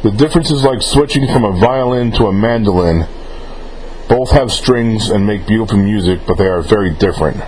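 Casual male interviewer over clear background noise. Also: on the low side, a little fast, darkish tone, low-quality recording. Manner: informal, rambling, often rephrasing questions. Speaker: interviewer relaying fan questions.

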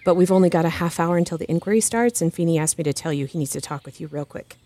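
An actress playing a calm, supportive counselor friend in an audio drama, coaxing in a measured, soothing manner. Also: female voice, fairly low pitch, natural voice. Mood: warm, encouraging. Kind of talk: fiction podcast audio drama acting.

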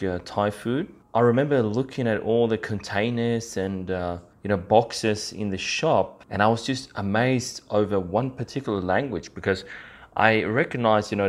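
A man hosting a podcast alone, explaining things in an informal, conversational way with frequent check-ins to the listener. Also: on the low side. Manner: informal, conversational, peppers talk with "you know" and "right?". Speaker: podcast host. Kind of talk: casual explainer podcast monologue.

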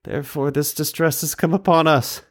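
A man's voice: on the high side, fairly even intonation, normal speed, pitched above the performer's own voice, natural voice. A man voicing a group of frightened, guilt-ridden grown brothers, pleading in a raised, flustered voice. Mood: anxious pleading.

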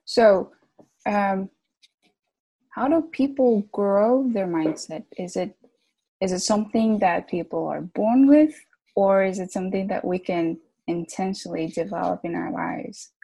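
A woman's voice: mid-range voice, a little slow, gravelly, dark tone, breathy edge, low-quality recording. A woman hosting a podcast interview, upbeat and welcoming, with short affirmations. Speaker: podcast host.

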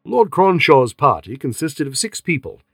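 A man voicing a hearty, condescending male police inspector who talks loudly and persuasively. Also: on the high side, big pitch swings, normal speed, no echo, clean studio sound. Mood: bluff.

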